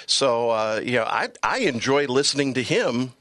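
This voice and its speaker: A man with a mid-pitched voice chats in a studio as a sports announcer being interviewed. He is easygoing and good-humored. The sound is close and dry, with little room around it. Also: lively intonation, normal speed, fairly bright tone, no room sound. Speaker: radio play-by-play announcer. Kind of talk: casual interview chat.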